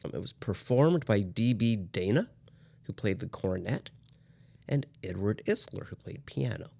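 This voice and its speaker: A man hosting a music show, chatting casually and explaining old recordings in a halting way. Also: fairly low pitch, lively intonation, creaky, warm tone. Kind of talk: casual chat about vintage recordings.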